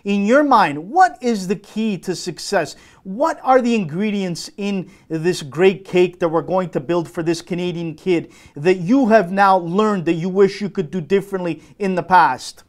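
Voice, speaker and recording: A man's voice: high-pitched, measured pace, hardly any pauses. A man hosting a sports talk show, asking long, emphatic questions of his guest. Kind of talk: enthusiastic interview questions.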